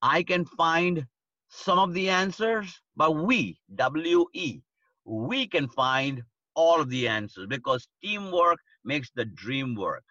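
A man's voice: fairly high pitch, expressive, slow, pushed and bright, no room sound, lo-fi sound. A man giving a livestreamed leadership talk, stressing his points by repeating key phrases. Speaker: leadership speaker.

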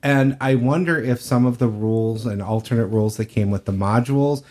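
Mid-pitched man in a podcast discussion. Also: brisk, a little mellow. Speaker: podcast co-host. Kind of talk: casual panel discussion.

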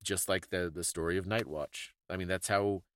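A man's voice: sits low, fairly even intonation, moderate pace. A man co-hosting a podcast, casually discussing folklore and stories in a thoughtful, explanatory way. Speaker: podcast co-host.

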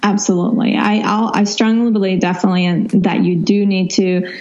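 A woman's voice: mid-range voice, steady tone, a little fast, darkish tone, highs cut off. A woman speaking as a coach being interviewed, explaining casually in a rambling way and often rephrasing herself. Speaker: podcast guest, financial counselor and money coach.

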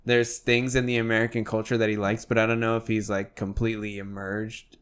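A man's voice: lowish voice, little pitch movement, brisk, smooth. A man in his twenties, born in Mexico, chatting loosely as a podcast host, with lots of fillers and casual swearing. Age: 20s. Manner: loose and conversational, many "like" fillers, swears freely. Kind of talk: casual chat.

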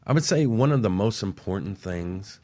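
Man, very deep husky voice, lively swings in pitch, emphatic motivational host, dry close sound. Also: moderate pace, no echo. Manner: preachy, emphatic, repeats phrases. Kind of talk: motivational radio talk.

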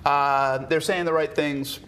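A man's voice: fairly high pitch, fairly even intonation, takes it slowly, a bit bright. A man with a Rhode Island accent, co-hosting a sports podcast and giving opinionated, story-driven football analysis in casual talk.

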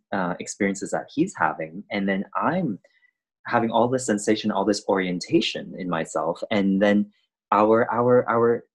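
Male dancer, native Cantonese speaker, interview guest; low husky voice, reflective and halting. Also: rough recording. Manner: thoughtful, halting with many restarts and fillers. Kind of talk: conversational interview.

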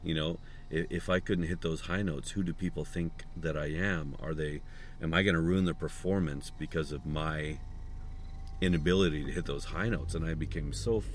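An opera singer, a man with a slightly hoarse voice, talking casually and haltingly with many restarts and fillers. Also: extremely low pitch, fairly even intonation, slight background noise. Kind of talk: casual spoken reflection.

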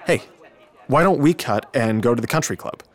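A young man acting in a radio drama as a self-pitying, brooding teenage high school boy with a casual, downbeat manner. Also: expressive, moderate pace, natural voice.

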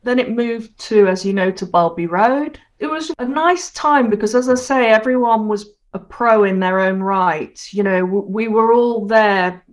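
A woman in her sixties from Hong Kong, chatting as a guest in an interview and reminiscing about her career. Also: mid-range voice. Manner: chatty, reminiscing, quick to laugh. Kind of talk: casual interview.

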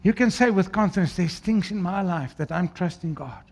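A man from South Africa with an Afrikaans accent preaching a sermon, swinging between loud declaiming and quiet, low asides. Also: moderate pace, creaky, faint music behind.

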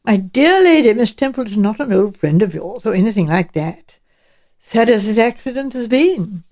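A woman voicing a courteous, frank male English professor in an audiobook, speaking in a measured and direct way. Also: mid-range voice, expressive, darkish tone, natural voice.

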